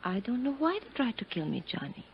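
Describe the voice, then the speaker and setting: A scheming femme fatale in a 1950s radio serial, all feigned innocence and pleading. Her pitch moves widely and she hesitates for dramatic effect. The vintage recording is dull and slightly noisy. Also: sing-song, a little fast, vocal fry. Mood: defensive. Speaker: actress in a radio detective serial.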